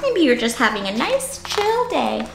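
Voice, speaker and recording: Female story-time host, very lively pitch swings, unhurried pace, playful and child-directed, room echo. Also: gravelly, a little hiss or hum. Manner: animated, exaggerated child-directed delivery with gasps and playful questions. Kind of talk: interactive children's read-aloud.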